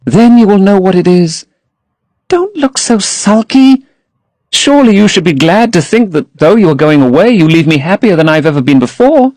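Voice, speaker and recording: A man's voice: high voice, darkish tone, no room sound, pitched above the performer's own voice. A man reading aloud and voicing a young woman actress, dreamy and infatuated, who gushes rapturously. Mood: fond. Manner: rapturous, gushing.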